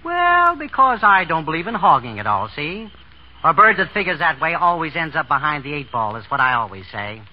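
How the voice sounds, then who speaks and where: An actor playing a slangy, cowardly small-time chiseler in a 1930s American radio drama. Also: male voice, a little high, very animated, brisk. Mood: smug, sly. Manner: slangy, stammers when scared. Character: pushy small-time male chiseler, cowardly when threatened. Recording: dull, noisy 1930s radio recording.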